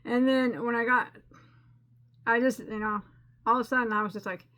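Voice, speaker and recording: Bright-voiced chatty crafting woman. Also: fairly high pitch, fairly even intonation, normal speed. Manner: rambling and self-deprecating, with frequent restarts and laughs. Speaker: crochet channel host. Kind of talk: casual chatty crafting update.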